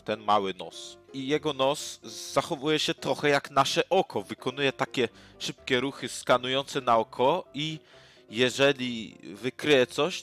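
A young man talks through animal facts in a relaxed, unscripted way, stopping now and then to correct himself. His voice sits at an ordinary middle pitch. Faint music plays softly behind him. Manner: casual, with fillers and self-corrections. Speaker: nature channel host. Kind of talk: informal educational narration.

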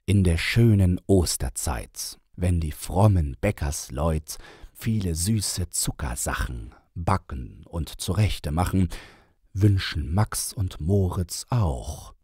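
A man narrating a storybook in verse as an audiobook reader, measured and with dramatic pauses. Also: deep voice, animated, dark tone, slightly rough, dry recording, studio quality.

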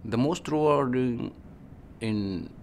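A man in his forties from Egypt with an Egyptian accent, a physician answering interview questions in an unhurried, halting way with restarts. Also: mid-range voice, darkish tone.

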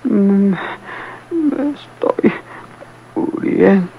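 A gravelly, high-strung dying man in an old radio play, very slow and gasping; dull old recording. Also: level tone, a little hiss or hum, full character voice. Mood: pained, frightened. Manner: gasping, faltering, repeats himself. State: weak, in pain. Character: badly wounded man, fearful and dying. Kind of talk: 1950s-style radio drama acting.

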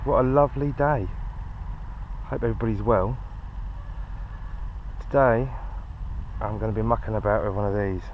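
A man's voice: lowish voice, moderate pace, pauses often, highs cut off. A British man chatting casually and ramblingly to viewers as a hobbyist host, muttering to himself outdoors. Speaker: amateur radio hobbyist and video host. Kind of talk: casual hobby vlog chat. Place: outdoors in a garden. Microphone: handheld action camera. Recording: action camera outdoors, steady background noise.

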